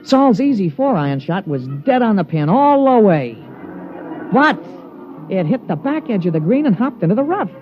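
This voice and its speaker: An actor playing a loyal, folksy golf caddy who also narrates, in a 1950s radio drama with a plain-spoken manner. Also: male voice, high-pitched, big pitch swings, normal speed, soft background music. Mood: narrating. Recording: dull old radio broadcast.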